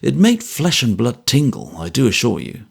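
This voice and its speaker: A man's voice: medium pitch, huge pitch swings, normal speed, creaky, breathy edge, full character voice. A man playing a kindly, troubled former trooper who talks earnestly and a little apologetically. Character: troubled ex-soldier, big, gruff and kindly.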